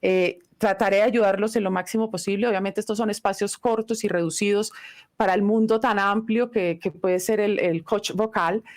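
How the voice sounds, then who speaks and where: A middle-aged woman whose first language is Italian, giving a live online voice lesson in an unhurried, reflective teaching manner. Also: medium pitch, darkish tone.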